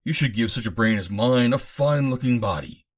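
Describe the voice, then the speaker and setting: An eager, loyal artificial man who is only a living head, acted out by a male audiobook reader. The voice calls out loudly in exclamations, lifted in pitch, with a husky edge. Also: animated, a little fast, natural voice. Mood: hopeful.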